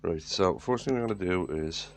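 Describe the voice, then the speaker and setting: A man's voice: low voice, lively intonation, moderate pace. An Irish man with a head cold, talking plainly as he works in his woodturning workshop. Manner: plain-spoken, matter-of-fact. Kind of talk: workshop narration. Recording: workshop noise behind voice.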